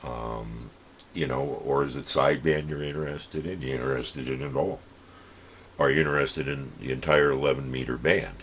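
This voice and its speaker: A man's voice: very deep voice, fairly even intonation, moderate pace, a bit bright, a little hiss or hum. An older man giving a casual, rambling, folksy explanation as a plain-spoken hobbyist host. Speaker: radio hobbyist and channel host.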